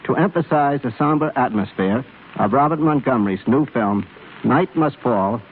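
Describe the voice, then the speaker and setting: A man's voice: medium pitch, expressive, normal speed, breathy edge. A man speaking as a guest expert in a scripted 1930s radio interview, explaining things formally and with careful phrasing. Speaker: film cameraman guest. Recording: scratchy, tinny 1930s radio broadcast.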